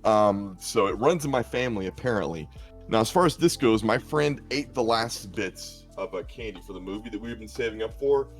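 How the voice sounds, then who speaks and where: Low-voiced man telling stories. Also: expressive, brisk, soft background music. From South Arkansas, USA. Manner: storytelling, self-mocking, joking. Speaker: esports caster. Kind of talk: casual banter on a stream.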